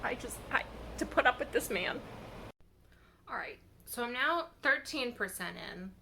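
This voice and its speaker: A woman talking casually in a reaction vlog, with a halting, expressive fangirl delivery and dramatic pauses. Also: on the high side, a little hiss or hum, low-quality recording. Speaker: vlogger sharing reactions to fan fiction.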